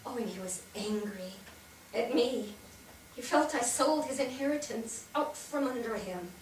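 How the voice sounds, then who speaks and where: Woman acting high-voiced grieving older widow, echoey theatre. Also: measured pace, close to an everyday voice. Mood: regretful. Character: grieving older widowed mother, ashamed and regretful. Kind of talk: theatrical staged reading. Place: theatre stage, picked up from far off. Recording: distant echoey theatre, noticeable noise.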